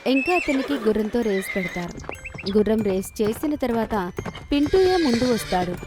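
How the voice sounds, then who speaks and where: A woman's voice: fairly high pitch, lively intonation, normal speed. A woman reading scripted narration for children's moral stories. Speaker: story narrator. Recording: clear music bed behind narration.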